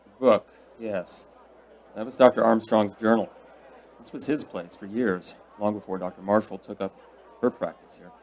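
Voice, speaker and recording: A middle-aged man acting in a radio drama as a stern but fatherly investigator, measured and probing. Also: on the low side, fairly even intonation, a little mellow, lightly acted.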